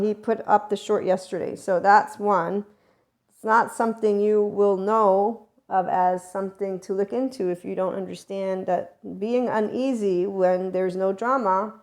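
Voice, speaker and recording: A middle-aged woman hosts a spiritual self-help podcast on her own. Her voice sits at an average pitch. She rambles and presses hard on single words, and her loudness keeps rising and falling. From America and Italy. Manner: rambling, emphatic, shifting loudness often. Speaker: podcast host.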